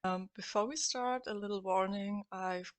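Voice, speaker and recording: A woman's voice: mid-range voice, moderate pace, dry recording, faint music behind. A woman with a cold and a scratchy voice, hosting a casual game-building voice-over, hesitant and full of fillers. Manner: hesitant, many fillers, chatty and upbeat. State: has a cold. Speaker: game builder and channel host.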